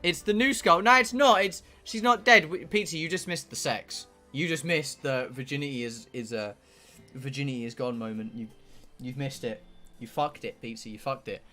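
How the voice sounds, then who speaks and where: A man's voice: medium pitch, moderate pace, pushed and bright, faint music behind. A man from England with a southern English accent, chatting casually and bluntly on a livestream, rambling and swearing freely. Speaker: game streamer.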